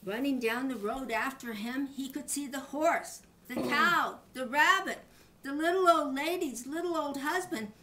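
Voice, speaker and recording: An older woman, a grandmother, reading a children's story aloud in an animated style. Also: high voice.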